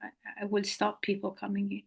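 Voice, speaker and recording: Woman in her 50s from northern iran, gravelly voice, soft-spoken, flat delivery. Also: medium pitch, moderate pace. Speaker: co-host of prayer gathering. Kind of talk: casual introduction. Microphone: video call microphone.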